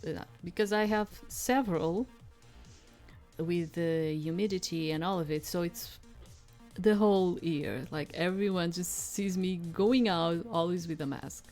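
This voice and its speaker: Low-voiced woman rambling through a game. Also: darkish tone, soft background music. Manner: rambling, halting, repeats herself. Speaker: streamer playing a visual novel demo. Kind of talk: casual playthrough commentary, reading game text aloud.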